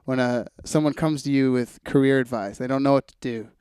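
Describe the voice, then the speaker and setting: A man's voice: medium pitch, fairly quick, a little mellow, clear, resonant tone, dry recording. Clean, close-sounding young man chatting. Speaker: podcast host. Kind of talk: casual conversational podcast chat.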